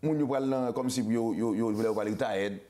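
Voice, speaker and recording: A man's voice: medium pitch, fairly quick, dark tone. A man with a Haitian accent hosting a casual talk show. He stammers and restarts a lot and mixes Creole and English words into his French. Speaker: show host.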